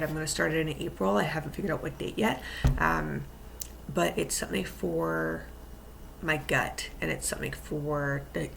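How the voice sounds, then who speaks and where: A woman talks casually to her followers, keeping her voice low so she won't wake someone in the house. Her voice has a strong gravelly fry, and she wanders through her thoughts with frequent ums and restarts. A faint noise sits under the recording. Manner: rambling, many ums and restarts; speaking quietly so as not to wake someone. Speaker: vlogger and fitness coach. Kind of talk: casual vlog chat.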